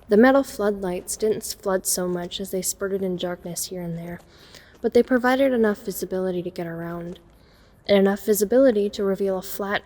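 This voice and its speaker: A woman playing a watchful young woman telling her own story in an audio drama. Her voice is mellow and mid-pitched, with measured scripted narration. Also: lightly acted. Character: watchful young woman recounting a night break-in. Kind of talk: scripted first-person narration.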